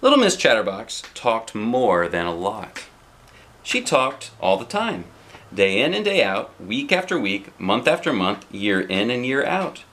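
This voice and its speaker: Animated man reading a children's storybook. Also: fairly low pitch, measured pace. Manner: playful, expressive, chatting with a child. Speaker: dad reading a picture book aloud.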